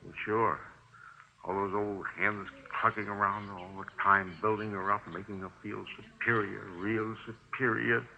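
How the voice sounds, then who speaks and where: A man's voice: lowish voice, little pitch movement, measured pace, gravelly, bright, forceful tone, exaggerated voice. A man acting a nervous, self-justifying landlord who confesses to killing, pleading and stammering in 1950s radio drama. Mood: bitter, pleading. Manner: agitated, pleading, repetitive, stammering. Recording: dull, noisy 1950s radio recording.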